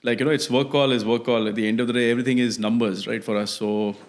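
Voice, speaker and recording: A man talking quickly as a podcast guest, at a middle pitch. He keeps things brisk and chimes in with quick agreement. He has a casual, easygoing way of speaking. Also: fairly even intonation. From UAE. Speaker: guest, radio sales professional. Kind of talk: podcast conversation.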